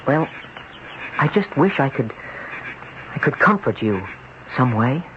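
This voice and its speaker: A man's voice: medium pitch, very animated, takes it slowly, noisy background. An actor playing an earnest, troubled young man in an old-time radio drama. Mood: pleading, earnest. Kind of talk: old-time radio drama acting and first-person narration. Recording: dull old radio recording with music.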